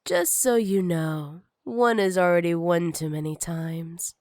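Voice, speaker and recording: A woman's voice: fairly low pitch, unhurried, a little mellow, no room sound, studio quality, lightly acted. A woman playing a jealous, possessive villain with magic, teasing and menacing in a sultry ASMR roleplay. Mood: possessive, controlled.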